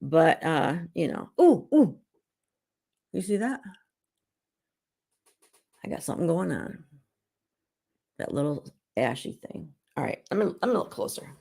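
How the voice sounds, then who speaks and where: A middle-aged woman who is hoarse while getting over an illness, chatting casually as she hosts a live skincare demonstration at home. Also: low voice, big pitch swings, a little slow, long pauses, dark tone. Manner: chatty and rambling, with frequent restarts. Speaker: beauty consultant and show host.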